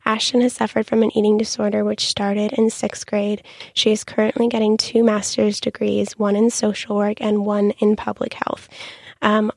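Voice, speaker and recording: A young woman hosting a podcast in a casual, chatty monologue, her voice raspy and full of ums. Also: mid-range voice, no echo, clean studio sound. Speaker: podcast host.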